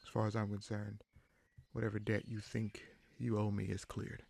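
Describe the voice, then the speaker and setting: A man playing an easygoing, protective bandit leader in a fantasy roleplay audio drama, speaking in a halting, conversational way with a gravelly voice. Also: fairly low pitch, monotone, darkish tone, a little hiss or hum. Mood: sincere, grateful. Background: birdsong, forest ambience.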